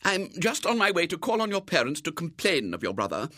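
Man putting on a high-pitched prim, severe lady. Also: wildly swooping pitch, a little fast, no echo, clean studio sound, full character voice. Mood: chatty, confiding. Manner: grim and sharp, softening to gracious. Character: severe, prim woman, easily charmed and gullible.

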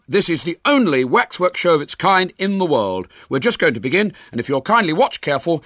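A man acts out an earnest storybook schoolboy, pitched well up and loud, with a husky edge. He declaims with great dignity and stern indignation, his pitch swinging widely. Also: no room sound, studio quality. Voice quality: hoarse when shouting.

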